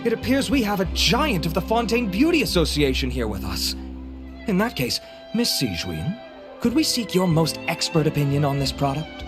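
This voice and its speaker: A suave, vain scientist makes a pompous stage pitch in a fairly high male voice. His delivery swoops theatrically over a music bed, in video game style. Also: wildly swooping pitch, moderate pace. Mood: flattering. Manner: pompous, grandiloquent. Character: handsome, vain, suave male researcher and inventor.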